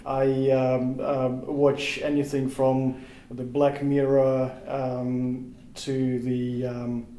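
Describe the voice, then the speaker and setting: A man's voice: medium pitch, completely flat delivery, drawn-out words, mellow, roomy sound. A man with a Russian accent, whose first language is Russian, talking thoughtfully as a podcast guest and searching for his words with frequent restarts. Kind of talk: casual podcast interview.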